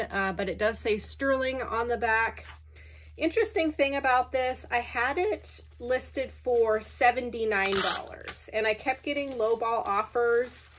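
A congested woman in her thirties chats away as she packs up orders, a little sniffly, rambling with fillers and self-deprecating asides. Her voice sits a touch high, picked up by a camera on the desk in a large cluttered room. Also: a bit bright, a little hiss or hum. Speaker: online reseller. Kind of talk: chatty packing vlog. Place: large cluttered indoor workspace.

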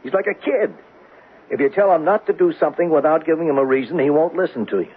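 Stern American police captain, band-limited. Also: male voice, on the high side, fast, breathy edge. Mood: exasperated. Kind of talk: 1970s American radio drama acting. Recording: muffled, hissy old radio broadcast.

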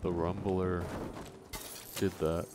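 A man's voice: low voice, little pitch movement, slow pace. A man giving commentary as he plays a video game, hosting a let's play. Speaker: gaming video host. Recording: noisy, game sounds behind voice.

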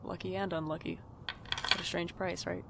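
Woman as wry young night shift worker, bit low and croaky, audio drama. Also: level tone, moderate pace, very noisy. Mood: casual, wry. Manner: dry, wry, casual. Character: sardonic, easily exasperated young night-shift shop worker. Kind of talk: audio drama acting and first-person narration.

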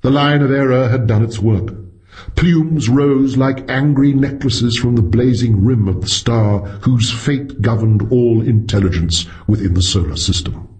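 A man narrating a science fiction story as a scripted audiobook, with an expressive storytelling delivery. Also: medium pitch, measured pace.

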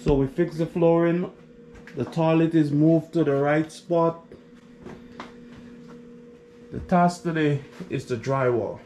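A man's voice: fairly high pitch, slow pace, pauses often, mellow, slight background noise, rough recording. A contractor talking plainly through a basement renovation as he works, recorded on a camera mic. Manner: plain, matter-of-fact planning talk. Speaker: contractor host. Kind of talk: casual work narration.

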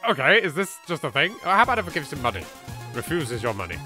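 An English man hosts a let's play with dry, wry humor, his pitch swinging widely between lines. Game music plays clearly in the background. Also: big pitch swings, racing.

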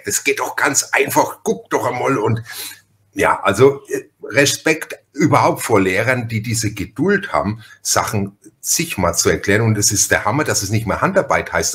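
A man's voice: fairly low pitch, a bit bright. A middle-aged man, chatting casually and emphatically as a podcast host. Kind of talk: casual chat.

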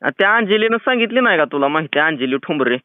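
Male prank caller, rural Maharashtrian accent, high-pitched, chatty and pushy, on a narrow phone line. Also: very animated, fast, a little hiss or hum. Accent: rural Maharashtrian Marathi. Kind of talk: casual teasing phone chat. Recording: tinny, phone-like band-limited sound.

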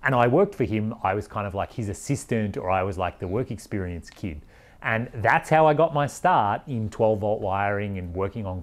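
Guy in his 40s from australia, kinda low voice, chatty straight-up vlog explainer. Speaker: vlogger, overland traveller. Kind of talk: casual explanatory vlog to camera. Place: indoors in a workshop garage, and seated in a vehicle.

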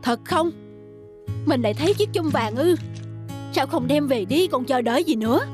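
An actress playing an impatient, nagging peasant wife in an animated folk tale, scolding and fretful. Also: female voice, high voice, moderate pace. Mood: eager, impatient. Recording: music behind the voice.

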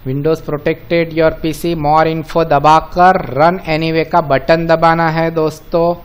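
Higher-pitched Indian man giving a software walkthrough. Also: flat delivery, slow, smooth. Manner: explanatory, addresses viewers as friends, mixes in English terms. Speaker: software tutorial presenter. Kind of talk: step-by-step screen-recording walkthrough, informal.

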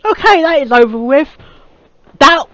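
A man giving casual reaction commentary as a video host, in a halting way that repeats phrases. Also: extremely high pitch, expressive, pushed and bright, no room sound. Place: small cluttered room indoors. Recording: slightly dull, dry sound.

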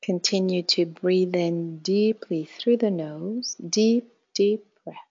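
A woman leading a guided meditation in a calm, measured way, with short instructions and soft phrase endings. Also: lowish voice, slightly rough, lo-fi sound. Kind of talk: guided meditation, slow spoken instructions with long pauses.

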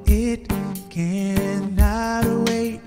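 A man's voice: sits high, steady tone, extremely slow, big-room sound. A young man from Nigeria playing and singing at home into a stand mic, with joking patter between songs. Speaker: musician performing. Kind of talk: live acoustic song set with banter. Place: living room at home. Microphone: stand mic in front of him. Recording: loud guitar over the voice. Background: his own acoustic guitar.

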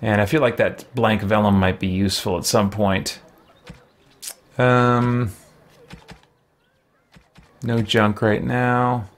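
A man in his thirties giving casual, unhurried game commentary, musing aloud and often hesitating. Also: lowish voice, fairly even intonation. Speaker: streamer playing a role-playing game. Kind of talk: casual let's play commentary. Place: small indoor room with shelves, at a desk.

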